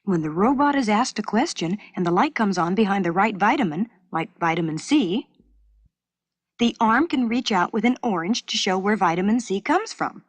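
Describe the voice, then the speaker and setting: A woman's voice: medium pitch, lively intonation, normal speed, husky, no echo. A woman storyteller voicing an inventive, keen young schoolgirl making suggestions in a children's story. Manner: questioning, suggesting.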